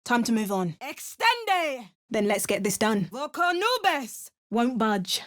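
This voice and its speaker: A determined adventurer from a fantasy role-playing game. She is wry and measured, with a theatrical edge. The sound is dry and close, with no room around it. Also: on the high side, sing-song, no echo. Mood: commanding incantation. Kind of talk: video game voice lines, dramatic narration and incantations.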